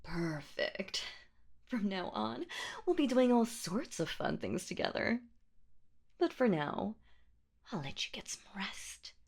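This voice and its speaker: A woman's voice: medium pitch, exaggerated voice. A woman voicing an ancient female boogeywoman in a horror roleplay audio drama, predatory and possessive, with teasing, menacing delivery. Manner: slow, teasing, menacing, breathy whispers and drawn-out words.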